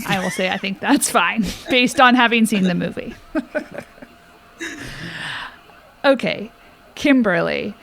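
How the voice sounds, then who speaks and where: Female podcast host, casual friendly questioner, medium pitch. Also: a bit bright. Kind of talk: casual interview.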